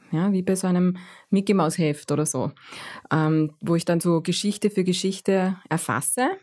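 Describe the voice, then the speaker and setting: Woman, middle-aged, Viennese accent, lowish voice, hesitant, interview guest. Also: darkish tone. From Vienna, Austria. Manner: thoughtful, frequent hesitations. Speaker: guest, graphic recorder. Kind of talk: interview answers.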